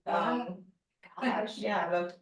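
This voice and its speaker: A woman's voice: medium pitch, takes it slowly. Woman in a very echoey room. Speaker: real estate agent. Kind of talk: casual self-introduction. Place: indoor group meeting room. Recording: very echoey room, distant microphone.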